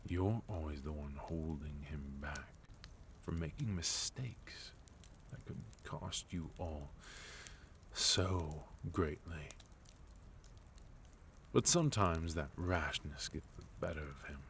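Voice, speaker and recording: A man playing a sophisticated, composed villain in an intimate ASMR roleplay, speaking in measured, courteous phrases with soft menace and deliberate pauses. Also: extremely low pitch, slight background noise, exaggerated voice. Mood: courteous, probing. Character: sophisticated, composed male villain, leader of enemy organization.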